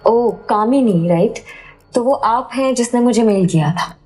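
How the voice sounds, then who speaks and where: A cool, probing female surgeon in an audio drama, voiced by an actress. She talks slowly and deliberately in measured questions, over faint music. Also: fairly high pitch, a little mellow, lightly acted. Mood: cool, suspicious. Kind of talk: Hindi audio drama acting.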